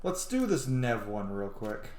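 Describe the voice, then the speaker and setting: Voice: mid-range voice, sing-song, a little slow, a little mellow. A streamer giving casual gameplay commentary, rambling and thinking aloud. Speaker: streamer playing a role-playing game. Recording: roomy echo, faint background noise.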